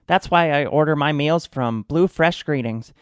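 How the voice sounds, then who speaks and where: A man playing a solemn, deadpan storyteller who narrates a comic mock-epic radio drama in a measured way. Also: on the high side, clear, resonant tone, no echo, clean studio sound, lightly acted. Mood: smug pitch.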